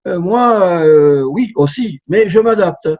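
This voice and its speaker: A man's voice: sits high, lively intonation, slow, a little mellow, dull audio. A man in his sixties, a translator giving an online talk, hesitant with many fillers and restarts. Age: 60s. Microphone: online talk, video call.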